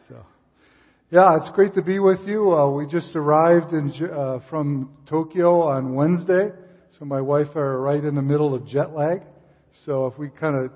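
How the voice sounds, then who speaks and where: An older man, a visiting missionary speaker giving a sermon in a church, relaxed, with frequent fillers and restarts. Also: a little high. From Chicago area, USA. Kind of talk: sermon with casual asides. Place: church sanctuary. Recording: distant hall reverb, dull sound.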